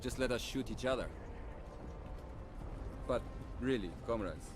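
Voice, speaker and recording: An actor playing a disillusioned, haunted Soviet lieutenant in a game cutscene, with a bitter, weary manner. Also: male voice, mid-range voice, measured pace, background noise, lightly acted. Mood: urgent, wry. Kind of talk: dramatic game cutscene dialogue.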